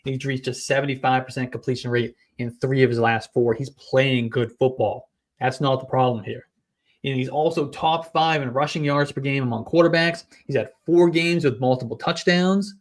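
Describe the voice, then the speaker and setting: A man hosting a fantasy football podcast, delivering brisk, stat-heavy analysis in casual chat. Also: medium pitch. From New England.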